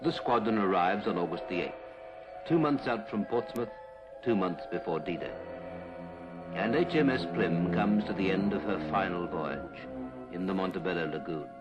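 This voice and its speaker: Male British narrator, lowish pitch, formal and measured, 1950s government documentary read with prominent music underneath. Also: animated, muffled recording. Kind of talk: 1950s British public information film narration, scripted. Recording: 1950s film soundtrack with music.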